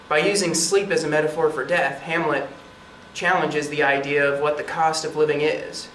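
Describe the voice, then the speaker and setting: A man's voice: on the high side, fairly bright tone, close to an everyday voice. A man playing an earnest classic-movie television host, giving a formal scripted introduction. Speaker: presenter introducing a classic film. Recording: distant, echoey room with background noise.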